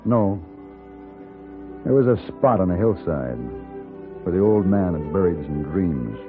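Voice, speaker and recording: An actor playing a steady, loyal gunfighter hero in a 1950s radio Western, with a grave, measured delivery. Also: male voice, low-pitched, narrow, tinny audio, music in the background. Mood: somber. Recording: band-limited 1950s radio recording.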